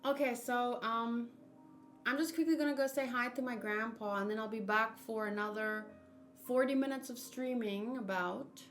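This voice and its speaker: A woman's voice: on the high side, fairly even intonation, normal speed, a bit bright, soft background music. A woman with a South African accent chatting casually on a game livestream from home. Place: room at home used for streaming.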